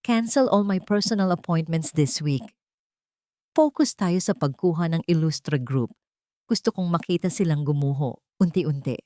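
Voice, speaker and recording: A woman's voice: sits low, lively intonation, moderate pace, mellow, no echo, clean studio sound. Woman voicing a guarded, earnest woman CEO. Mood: cold, serious. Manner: earnest, serious. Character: proud woman CEO, guarded, wronged, romantic at heart.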